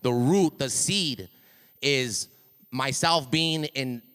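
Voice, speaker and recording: Middle-aged la guy, loud hype man voice, smooth and clear, big echoey school hall. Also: medium pitch, extremely slow. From East Los Angeles, USA. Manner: hype man, repeats and restarts words. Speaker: ring announcer speaking at a school assembly. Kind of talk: motivational speech to students. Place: school auditorium. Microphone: handheld mic. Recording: reverberant hall.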